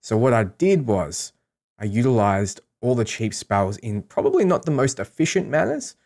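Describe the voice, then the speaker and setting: A man's voice: mid-range voice, very animated, normal speed, darkish tone. A man giving casual, explanatory commentary on a game, talking through his strategy as a streamer.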